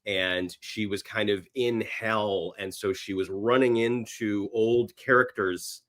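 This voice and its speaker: Low-voiced man chatting as podcast guest. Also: steady tone, takes it slowly, fairly bright tone. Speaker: actor and screenwriter. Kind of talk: casual interview chat.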